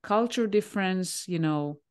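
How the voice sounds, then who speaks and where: Mulher israelense em chamada de vídeo, voz grave. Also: female voice, fairly low pitch, clean tone. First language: Hebrew. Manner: thoughtful, pauses with many "uh" fillers. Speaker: guest, leadership coach. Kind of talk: casual interview answers. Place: home room, indoors. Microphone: headset on video call.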